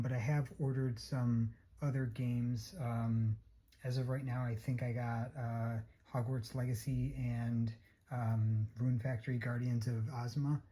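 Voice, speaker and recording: A hoarse-voiced vlogger talking casually and a bit hesitantly through a product unboxing. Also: medium pitch, warm tone. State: hoarse, losing voice. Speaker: unboxing video host.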